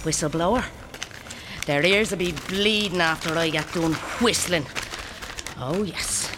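A woman's voice: lowish voice, a little slow, noisy background, lightly acted. An actress playing a vengeful woman who gloats and mutters to herself in an audio drama. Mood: gloating, vengeful.

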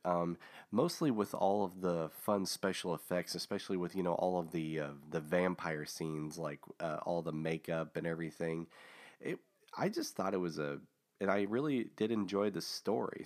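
A man hosting a casual book review, talking with many fillers and restarts, his voice a little hoarse from a recent illness. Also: deep voice. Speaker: host of a book review show.

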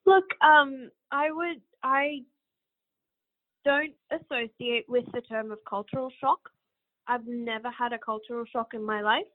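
A woman's voice: a little high, steady tone, a little slow. A woman from Bangladesh, a podcast guest, answering interview questions in an earnest, reflective way as she thinks aloud. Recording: phone-like narrow line.

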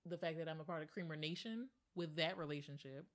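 Young female co-host, slightly low voice, playful and quick with jokes. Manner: playful, jokey, exclaims "oh my goodness". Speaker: podcast co-host. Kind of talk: casual chat.

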